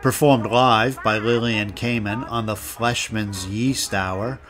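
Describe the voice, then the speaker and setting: Slow, low-voiced male podcast host. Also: steady tone, a bit bright. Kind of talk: scripted narration, reading credits.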